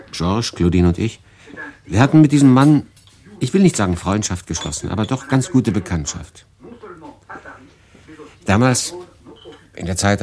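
An actor playing a weary, brooding young refugee, narrating a radio drama with intensity, shifting between hushed and emphatic. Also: male voice, low voice.

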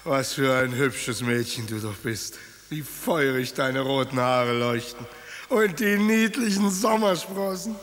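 An actor playing a pompous old tsar who flares up at insults, in a radio drama. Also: male voice, medium pitch, lively intonation, fairly bright tone, big-room sound. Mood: fond. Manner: pompous and quick to rage. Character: blustering, easily offended old tsar.

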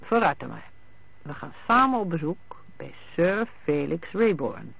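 A man voicing a calm, urbane, evasive husband in a read detective story, unhurried and teasing. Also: on the high side, creaky, husky, no echo, exaggerated voice. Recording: thin, phone-like band-limited sound.

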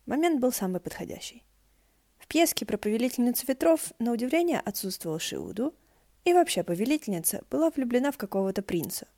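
A woman narrates a story in a soft, mellow voice. Her intonation moves freely and expressively, as in an audiobook. The sound is dry, with no room around it. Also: a little high, no echo. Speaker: fan fiction audiobook reader.

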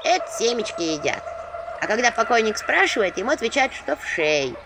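Mischievous know-it-all imps in an audiobook , voiced by a woman narrator, matter-of-fact, lively, noisy hiss behind. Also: a little high, fairly quick, pitched above the performer's own voice. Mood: explaining. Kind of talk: audiobook character voice.

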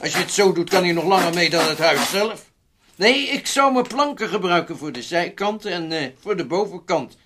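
A practical, jovial older man in a radio play. His voice is rather high for a man, chatty and teasing, with clear noise on the recording. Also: animated, normal speed, fairly bright tone. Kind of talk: radio drama acting. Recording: noisy old radio recording.

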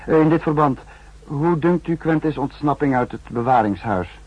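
An actor playing a calculating senior spymaster in a 1960s radio drama, speaking in a measured, probing, formal way. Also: male voice, fairly high pitch, no echo. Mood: cool, calculating. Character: calculating male spymaster, senior protector of secret service. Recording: dull, faintly husky 1960s radio recording.

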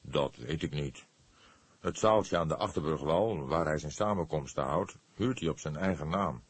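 A seasoned senior police detective from an audiobook, played by a male reader. The voice is low and husky, measured and patient, earnest in explaining things. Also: dry recording. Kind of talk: audiobook character dialogue.

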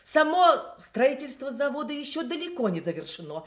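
Soviet radio play: committee secretary, precise bureaucratic phrasing, highish lively voice. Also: female voice, moderate pace. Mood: indignant, reporting. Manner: formal, precise, official phrasing. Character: indignant, formal female party committee secretary.

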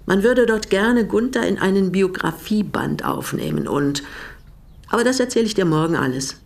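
Older widow, mid-pitched, measured, quietly bitter, naturalistic radio drama. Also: female voice, sing-song, vocal fry. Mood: friendly, brisk. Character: older East German widow, once a political prisoner, bitterly composed.

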